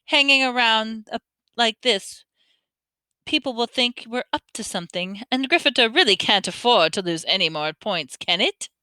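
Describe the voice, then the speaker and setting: A woman doing a smooth, sneering male teacher. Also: fairly high pitch, animated, pushed and bright, no room sound. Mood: menacing. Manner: smooth, slow and menacing.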